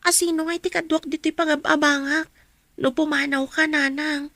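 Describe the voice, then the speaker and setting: An actress playing a gentle, dutiful young student daughter in an Ilocano radio drama. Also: female voice, high voice, fairly even intonation, normal speed, no echo. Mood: earnest, concerned.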